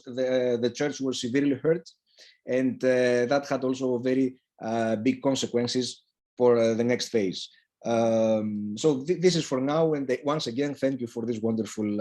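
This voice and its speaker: A man giving an academic talk, halting and repeating words as he works toward his points. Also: mid-range voice, darkish tone. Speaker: academic speaker. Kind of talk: lecture-style academic presentation.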